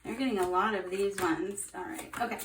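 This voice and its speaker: A young woman giving a casual tarot reading, chatty and upbeat with lots of fillers, her voice slightly hoarse. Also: mid-range voice, fairly even intonation, brisk, distant mic, slight background noise. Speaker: tarot reader. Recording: roomy echo, off-mic.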